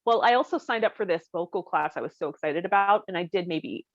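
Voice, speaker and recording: A woman's voice: on the low side, fast talker, dry recording, lo-fi sound. A woman co-hosting a podcast, sharing personal stories in a casual, candid, conversational way. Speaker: podcast co-host. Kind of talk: casual chat, personal anecdotes.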